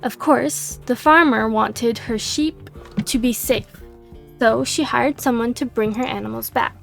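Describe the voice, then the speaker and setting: A laid-back female monster hunter read by a woman, with a higher voice delivered slow and unhurried. The voice has a gravelly, fried edge and sounds a little worn, as if it is going hoarse. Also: faint music behind. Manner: reads dialogue aloud in her own plain voice. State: losing her voice.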